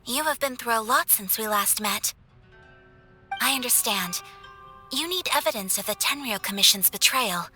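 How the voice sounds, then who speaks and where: A woman acting a composed, earnest young noblewoman in an English video game dub, polite, deliberate and articulate. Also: a little high, animated, bright, forceful tone, faint music behind. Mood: thoughtful.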